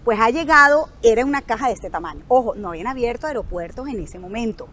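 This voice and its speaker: A woman's voice: on the high side, fast talker, crowd noise. A woman in her thirties with a Colombian Caribbean coast accent, telling stories animatedly as an interview guest outdoors. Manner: expressive, acts out dialogue. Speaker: guest, news anchor. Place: outdoor resort terrace.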